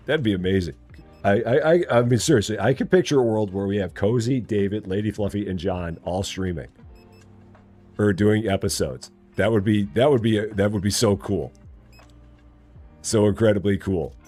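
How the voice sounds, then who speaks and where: Chatty Midwestern man, low voice. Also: moderate pace, darkish tone, faint music behind. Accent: General American, Midwest Illinois. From Illinois, US Midwest. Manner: chatty, repeats himself, playful teasing. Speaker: gaming streamer. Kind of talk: casual live-stream chat while playing.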